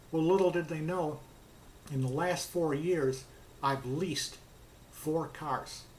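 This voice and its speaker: A man's voice: fairly high pitch, a little hiss or hum, poor audio. A man casually telling a personal anecdote in a plainspoken way, recounting back-and-forth dialogue as he goes. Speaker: car customer telling his experience.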